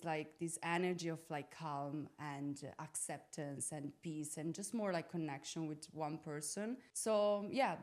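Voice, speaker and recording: A woman's voice: lowish voice, a little mellow. A young woman from Italy talking casually and reflectively as a podcast guest, informal and often searching for her words. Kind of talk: casual personal reflection on living abroad. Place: podcast studio.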